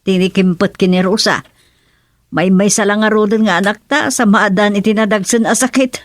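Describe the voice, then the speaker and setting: A woman's voice: mid-range voice, fairly even intonation, moderate pace, dry recording, studio quality, close to an everyday voice. A woman playing a worried village mother with an Ilocano accent in a radio drama. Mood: distressed, pleading. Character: worried village mother fretting over a sick child.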